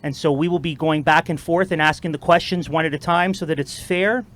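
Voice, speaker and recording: A man with a somewhat high voice opens an outdoor debate. He speaks in an upbeat, rallying way as he welcomes the crowd and introduces the debaters. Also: fairly even intonation, a little fast, fairly bright tone. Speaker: debate moderator. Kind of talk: opening remarks to a live crowd.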